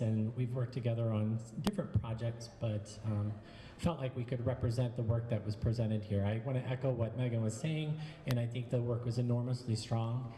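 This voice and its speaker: A man's voice: mid-range voice, dark tone. A man giving a reflective, somewhat hesitant live critique of art pieces. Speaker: art show juror. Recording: echoey hall with long reverb, faint hum.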